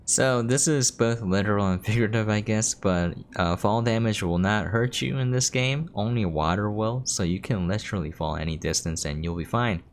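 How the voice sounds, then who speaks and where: Deep-voiced man doing casual game commentary. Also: moderate pace, few pauses. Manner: informal, offhand, mildly sweary. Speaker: video game streamer and reviewer. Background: soft game music.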